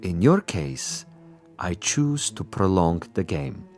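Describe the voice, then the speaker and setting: An actor playing a suave, boastful assassin commissar in a radio drama, with pompous, precise and silkily polite diction. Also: male voice, low voice, very animated, takes it slowly, a little mellow, soft background music, heavily acted. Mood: smug, menacing. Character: suave, boastful male assassin commissar, coolly menacing connoisseur.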